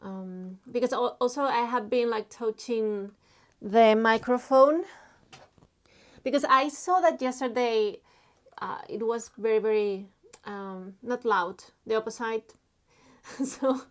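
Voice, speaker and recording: Slow-talking woman from Spain. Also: a little high. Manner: halting, many fillers, reads chat messages aloud. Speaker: artist live streaming while drawing. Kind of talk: casual live stream chat.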